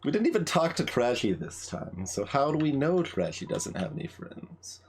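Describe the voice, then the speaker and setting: A man's voice: medium pitch, wildly swooping pitch, normal speed, a little hiss or hum. A man giving casual video game commentary as a streamer, musing in muttered asides.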